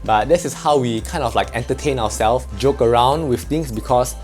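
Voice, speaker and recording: A man's voice: mid-range voice, normal speed, darkish tone, soft background music. A man from Malaysia with a Malaysian English accent, explaining things in a chatty, joking vlog. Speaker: vlogger.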